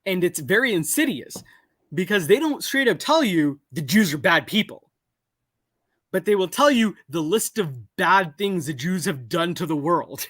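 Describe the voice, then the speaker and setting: A young man calling in to a live show, talking in a halting way full of restarts and self-corrections. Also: sits high, fairly bright tone, no echo, studio quality. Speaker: caller. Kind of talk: casual call-in conversation. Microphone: call-in over a video call.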